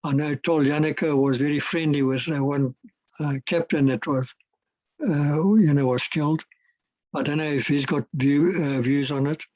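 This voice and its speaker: An older man with a South African accent, a native Afrikaans speaker, giving a casual interview as a guest and pausing often with fillers and restarts. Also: fairly high pitch, fairly even intonation, a little mellow, no room sound, dull audio. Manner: hesitant, many fillers and restarts. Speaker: guest, retired air force officer.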